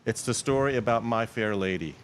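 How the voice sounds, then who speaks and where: Low-voiced middle-aged man telling stories. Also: brisk. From New York, Queens. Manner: rambling, self-correcting, animated anecdotes. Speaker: guest, app developer. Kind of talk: casual interview storytelling.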